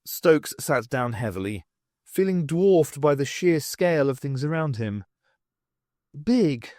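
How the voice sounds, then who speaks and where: A man's voice: no echo, clean studio sound. A man reading a novel aloud as an audiobook, telling the story expressively. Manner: expressive storytelling with lively shifts in pitch. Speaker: audiobook reader. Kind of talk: scripted narration of a novel.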